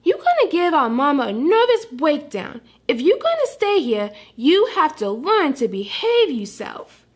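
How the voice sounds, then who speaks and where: A woman's voice: high-pitched, huge pitch swings, unhurried, exaggerated voice. A woman voicing a mischievous, earnest little boy puppy with babyish grammar in a children's storybook. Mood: scolding. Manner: babyish grammar, says dat for that. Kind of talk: read-aloud children's storybook character.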